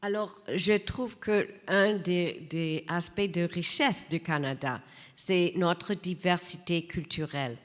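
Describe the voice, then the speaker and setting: Une femme à la voix plutôt grave, candidate dans un débat, parle très lentement et de façon posée, ponctuant ses phrases de nombreuses hésitations. Une longue réverbération de salle accompagne sa voix. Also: female voice, fairly low pitch. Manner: measured, many hesitations. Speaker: election candidate. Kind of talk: debate statement. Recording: reverberant hall.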